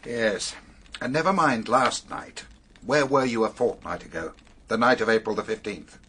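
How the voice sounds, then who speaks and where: A middle-aged actor with an English accent playing a sober, matter-of-fact male police detective in a radio drama, measured and authoritative. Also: fairly low pitch, a bit bright, slight background noise, close to an everyday voice. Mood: businesslike.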